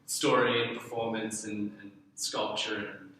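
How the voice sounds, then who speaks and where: A man's voice: on the low side, little pitch movement, unhurried, sharp tone, slight background noise. A young Australian man being interviewed as an artist, chatting casually in a hesitant, self-deprecating, meandering way. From Darwin, Australia. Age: young adult. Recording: very echoey room, distant mic.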